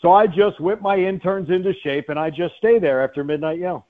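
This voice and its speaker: A man's voice: high-pitched, brisk. An American man, a play-by-play announcer, chatting casually as a radio guest over a guest line. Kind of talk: casual joking interview chat. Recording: slightly dull lo-fi guest line.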